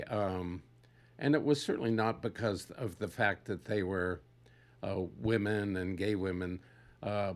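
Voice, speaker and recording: An older man giving an unscripted, reflective personal talk in a halting way, searching for words as he goes. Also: fairly low pitch.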